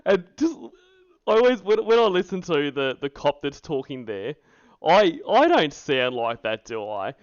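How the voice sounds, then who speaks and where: A man with an Australian accent hosts a livestream in a loose, rambling way. His voice is clean and smooth, recorded close and dry with a slightly dull top end. He talks with amusement and jokes crudely. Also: on the high side, sing-song, unhurried, no echo. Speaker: streamer hosting a reaction stream. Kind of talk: casual rambling livestream chat.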